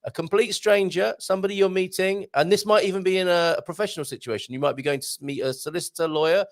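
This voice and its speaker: A man's voice: sits high, steady tone, fast. A man hosting a live question show, reading viewer questions and talking enthusiastically, often repeating words. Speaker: show host.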